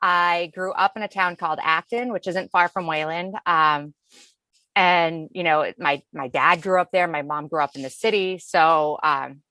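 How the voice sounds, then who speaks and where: A woman's voice: lowish voice, unhurried, sharp tone, dry recording. An American woman from Massachusetts chatting casually as a podcast guest. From Massachusetts, United States. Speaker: podcast guest, food blogger. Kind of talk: casual chat. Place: closet.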